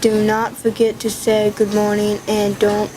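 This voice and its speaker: A woman's voice: medium pitch, monotone, measured pace, background noise, lightly acted. A woman reading the part of a caring mother giving her daughter instructions, hurrying and stumbling over words. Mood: instructing.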